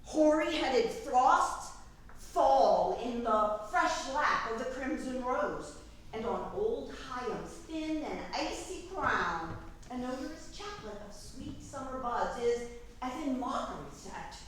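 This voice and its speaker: A woman playing a proud, defiant fairy queen in a measured, declamatory staged reading of Shakespearean verse. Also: high voice, lively intonation. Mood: grave, reproachful. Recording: echoey room from far off, faint noise.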